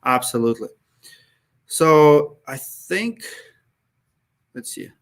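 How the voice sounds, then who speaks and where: A man's voice: on the high side, big pitch swings, slow pace. A man with an accent, hosting and answering viewers' questions in a live session. He talks casually, dropping articles, with many fillers and restarts. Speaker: host. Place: Minneapolis.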